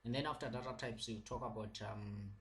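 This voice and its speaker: A man lecturing slowly and patiently over slides. His pitch sits in an ordinary middle range, and he repeats points often and leans on many fillers. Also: fairly bright tone. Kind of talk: slow recorded lecture.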